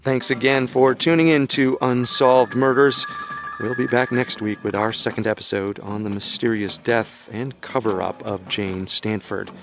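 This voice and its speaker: Measured male podcast host. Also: medium pitch, steady tone, soft background music. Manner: measured, announcer-like. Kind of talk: scripted narration.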